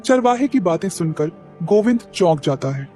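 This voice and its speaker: Higher-voiced male storyteller. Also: normal speed. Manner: steady, explanatory. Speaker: narrator. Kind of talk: storytelling narration for a cartoon. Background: faint music.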